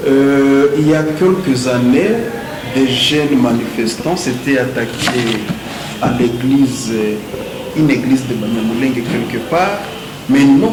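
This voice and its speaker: A man with a Congolese French accent argues his position in a formal debate. He is emphatic and rhetorical, building points through repetition. The room is echoey, with clear noise behind him. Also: medium pitch. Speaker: debate speaker. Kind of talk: argumentative debate speech.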